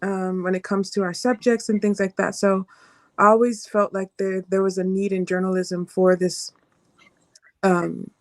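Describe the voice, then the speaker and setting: A woman's voice: mid-range voice, level tone, moderate pace, dry recording, studio quality. A woman speaking as a panelist about her own work, hesitantly searching for words. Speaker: panelist, journalist. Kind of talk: personal explanation in a panel introduction.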